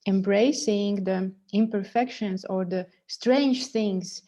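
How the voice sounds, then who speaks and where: A woman's voice: mid-range voice, slow pace, warm tone. A woman hosting an interview over a video call, speaking hesitantly with many fillers. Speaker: interview host. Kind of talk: interview, casual chat.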